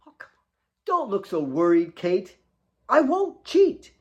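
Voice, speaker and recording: A man's voice: sits high, huge pitch swings, measured pace, highs cut off, pitched above the performer's own voice, full character voice. A man voicing an eager, caring ten-year-old boy in a story reading, earnest and prone to repeating himself. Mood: reassuring.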